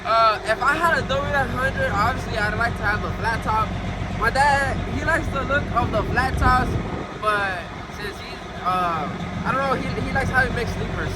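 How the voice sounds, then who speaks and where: Loud engine and outdoor noise surrounds a young man with a fairly high voice. He chats casually like a truck driver filming a vlog, easygoing and slangy as he shows things around his rig. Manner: laid-back, slangy, occasional Spanish asides. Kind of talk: casual vlog chat while demonstrating. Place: outdoors by a semi truck and inside its cab. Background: loud vehicle and engine noise.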